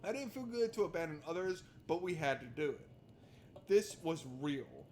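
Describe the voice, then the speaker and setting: A man's voice: a little high, big pitch swings, moderate pace, a little mellow. A man doing casual, sweary let's-play commentary, talking to himself and reading game text aloud. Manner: informal, sweary, talks to himself. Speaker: game streamer. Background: game music.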